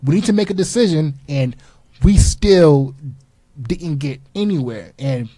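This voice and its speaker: A man born in Haiti and raised in Newark, a guest entrepreneur on a radio show, who spells out his web details slowly and carefully. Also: on the high side, lively intonation, warm tone, no echo. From born in Haiti, raised in Newark, New Jersey. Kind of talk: casual radio interview.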